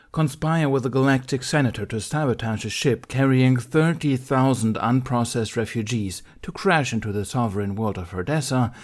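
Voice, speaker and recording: A man's voice: mid-range voice, darkish tone, voice pushed up, lightly acted. A man voicing a stern, exasperated council master in an audiobook, formally interrogating in a businesslike, accusing way. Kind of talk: audiobook character dialogue.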